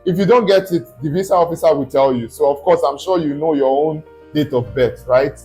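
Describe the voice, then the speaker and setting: A man, a study abroad consultant, talks his viewers through a screen tutorial. His voice sits on the high side and he keeps stopping to ask "okay?" or "right?". Faint music plays quietly under him. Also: a little fast. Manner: explaining step by step, checking in with "okay?".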